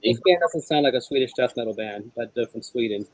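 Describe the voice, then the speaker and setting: A man's voice: mid-range voice, lively intonation, fairly quick, dry recording, low-quality recording. A man chatting casually with friends as a fellow video maker. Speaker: video maker in a group chat. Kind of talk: casual chat.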